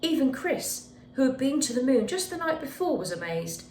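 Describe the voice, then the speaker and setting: A woman's voice: high voice, very animated, moderate pace, darkish tone. A woman reading a children's picture book aloud expressively, with dramatic emphasis on key words. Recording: echoey room with faint background noise.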